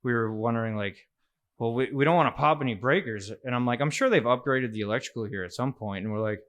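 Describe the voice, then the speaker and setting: A man hosting a podcast, speaking hesitantly with restarts and a quiet trailing delivery. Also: medium pitch, fast talker. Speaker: podcast host. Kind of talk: casual interview.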